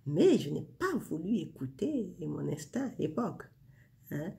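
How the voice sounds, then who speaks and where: Slow, gravelly-voiced woman in her forties. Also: low voice, very animated, warm tone. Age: 40s. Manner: intimate, addresses the listener directly, many fillers. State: winding down before bed. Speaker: vlogger sharing inspiration. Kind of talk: casual spiritual vlog.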